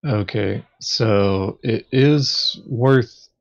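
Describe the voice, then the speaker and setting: A man's voice: lowish voice, drawn-out words, vocal fry, highs cut off. A man chatting casually as he thinks through his moves in an online tabletop game. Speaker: board game player.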